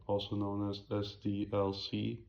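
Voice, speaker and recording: A young man with a raspy voice giving an informal student project presentation in a hesitant, halting way, often correcting himself. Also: lowish voice, monotone, darkish tone, muffled recording. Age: young adult.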